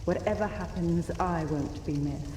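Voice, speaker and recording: Actress as a slow, dark-voiced aloof knight. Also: female voice, on the low side, roomy sound, long reverb tail, a little hiss or hum, natural voice. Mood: solemn. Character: guarded, aloof masked wandering female knight. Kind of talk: fantasy video game voice acting.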